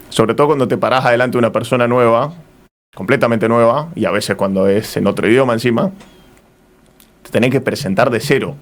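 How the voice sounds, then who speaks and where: A man with an Argentine accent speaking as an interview guest, reflective and searching for his words as he goes. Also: mid-range voice. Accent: Argentine Spanish. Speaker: interview guest, returned traveller.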